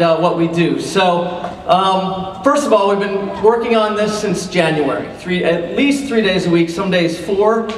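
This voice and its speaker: A man's voice: high voice, far from the mic. A man who directs a school drama club, explaining a tradition to an audience in an auditorium. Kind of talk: speaking to a theater audience. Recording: roomy auditorium heard from afar.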